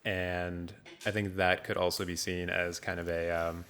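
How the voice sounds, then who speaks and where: Male host, very low and flat-toned, steering a casual film chat with considered questions. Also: measured pace, a little hiss or hum.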